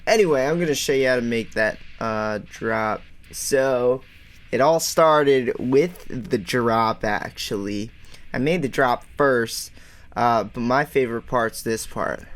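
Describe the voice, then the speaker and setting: A man's voice: mid-range voice, takes it slowly. A man casually walking through his music production in a home studio, rambling and self-deprecating with lots of fillers. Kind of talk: casual tutorial walkthrough. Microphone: studio microphone.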